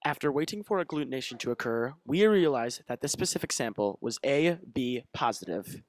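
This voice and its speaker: A man's voice: mid-range voice, animated, fairly quick, no room sound. A young man reading scripted narration for a student crime dramatization.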